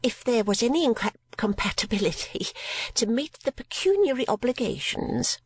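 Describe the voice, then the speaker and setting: A woman voicing a mincing, genteel landlady of fifty in a storybook reading, with a high, breathy, prim and affected manner and dainty little coughs. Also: lively intonation, normal speed, no room sound, studio quality. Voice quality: high and breathy, sometimes whispered.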